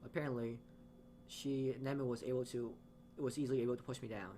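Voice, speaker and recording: A man's voice: medium pitch, fairly even intonation, a little fast, warm tone, slight background noise. A man reading a visual novel's narration aloud as a let's-player, often stumbling and rereading phrases.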